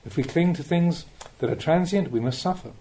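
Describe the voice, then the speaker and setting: A man reading spiritual teachings aloud as an audiobook narrator, in a measured, instructive manner with emphatic phrasing. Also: fairly high pitch, warm tone.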